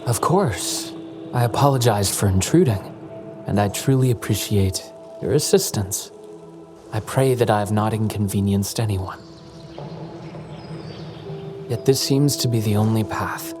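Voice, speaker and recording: Man acting a low, courteous young wanderer. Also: normal speed, close to an everyday voice. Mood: serene, courteous. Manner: formal, ornate, polite phrasing. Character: courteous, eloquent young male wanderer, serene and grateful. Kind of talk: scripted video game acting. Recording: game music behind the voice.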